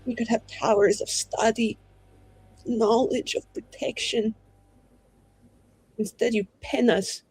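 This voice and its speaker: A player of unclear sex voicing a bitter, proud and defiant mage in a tabletop game, with clipped, deliberate, cutting delivery and a husky voice. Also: medium pitch, fairly even intonation, darkish tone, exaggerated voice, rough recording. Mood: bitter, resentful. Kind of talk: in-character improvised dramatic dialogue.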